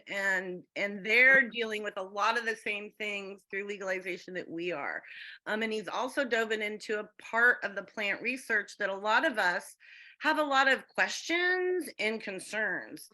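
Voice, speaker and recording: A woman's voice: mid-range voice, pushed and bright. A woman hosting an online meeting, giving an informal spoken introduction. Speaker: meeting host introducing a guest.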